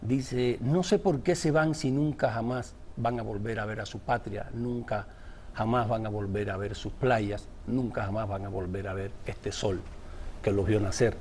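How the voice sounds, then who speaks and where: A man's voice: fairly low pitch, steady tone, unhurried, a little mellow, a little hiss or hum. A man from Cuba, an author and journalist, answering interview questions in a reflective, discursive way.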